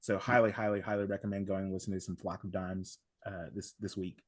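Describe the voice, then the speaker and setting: A man talking casually as a podcast guest, giving recommendations in an effusive, halting way with emphatic superlatives. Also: sits low, flat delivery, dry recording.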